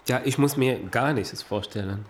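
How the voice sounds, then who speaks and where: Halting man, clearly noisy recording. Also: medium pitch. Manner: halting, searching for words.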